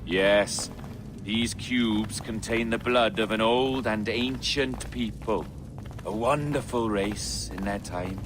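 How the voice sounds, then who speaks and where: Deep-voiced, grandiose pirate sage. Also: male voice. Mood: lofty, amused. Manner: eloquent, measured, theatrical, cryptic. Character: eerie, self-possessed, grandiose male pirate sage. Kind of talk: dramatic video game acting. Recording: noisy outdoor ambience behind the voice.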